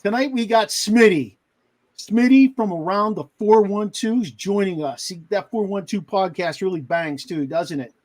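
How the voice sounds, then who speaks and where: A man with a Pittsburgh accent hosting a casual sports podcast, loud and joking, swearing freely. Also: high-pitched, moderate pace. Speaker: podcast host.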